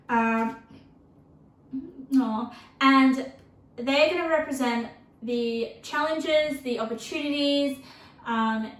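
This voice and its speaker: A woman giving a casual tarot reading, chatty and rambling with lots of fillers, her voice turning a little croaky. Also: high-pitched, fairly bright tone, roomy sound, recorded from a distance, rough recording. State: voice getting croaky from thirst. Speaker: tarot reader.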